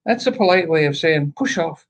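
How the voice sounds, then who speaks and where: A man's voice: on the high side, expressive, normal speed. A man co-hosting a casual live-stream chat, telling jokes in a playful storyteller's manner.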